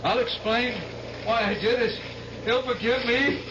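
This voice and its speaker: An actor playing a guilt-haunted, deluded war veteran in an old-time radio drama, halting and repeating himself obsessively. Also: male voice, extremely high pitch, steady tone. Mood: frantic, pleading. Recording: muffled noisy radio drama recording.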